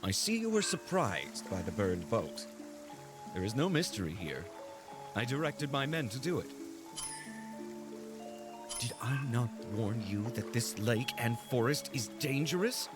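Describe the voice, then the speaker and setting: A stern, possessive royal fiancé. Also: male voice, medium pitch. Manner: formal, stern and commanding. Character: proud, possessive royal fiancé, adult man. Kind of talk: game cutscene voice acting.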